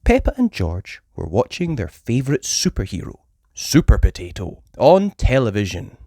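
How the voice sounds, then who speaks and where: A woman reading a children's story aloud as an expressive storyteller. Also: extremely low pitch, normal speed, dry recording, clean studio sound.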